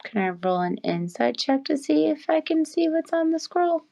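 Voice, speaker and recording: A woman's voice: sits high, sing-song, normal speed, vocal fry, mellow. A woman playing a tabletop role-playing game, talking casually with the other players.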